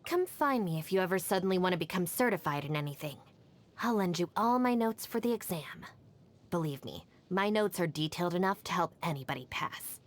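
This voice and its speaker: A woman's voice: lowish voice, expressive, close to an everyday voice. An actress voicing a capable, no-nonsense young female gang deputy in a video game, matter-of-fact and dryly confident. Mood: dry, self-assured. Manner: matter-of-fact, composed, brisk. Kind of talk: video game character voice lines.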